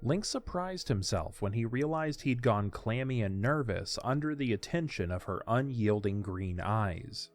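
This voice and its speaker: A man's voice: medium pitch, lively intonation, a little slow, few pauses. A man reading scripted story narration as the narrator of an audio drama. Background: faint music.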